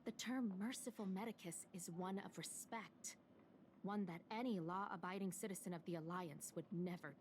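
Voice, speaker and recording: A woman's voice: mid-range voice, a little mellow, lightly acted. An actress playing a composed, stern female chief counselor in a fantasy video game, speaking formally and precisely. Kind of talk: scripted video game dialogue. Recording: game music behind the voice.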